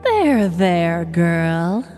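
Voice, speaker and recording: A woman's voice: lowish voice, very animated, very slow, darkish tone, faint music behind, full character voice. A woman playing a scheming, morbid, oddball middle-aged shelter worker in a comedic story, theatrical and falsely sweet.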